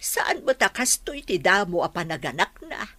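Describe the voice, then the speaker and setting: A concerned older mother in an Ilocano radio drama. Her voice is husky and fairly low for a woman, with an Ilocano accent. She advises in a motherly way. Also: sing-song, moderate pace, pushed and bright, dry recording, heavily acted. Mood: concerned, advising.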